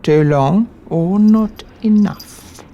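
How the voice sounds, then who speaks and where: A woman voicing an old, world-weary captive cockatoo who muses slowly and poetically in a radio play. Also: mid-range voice, warm tone, dull audio. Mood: wry. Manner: slow, poetic, musing.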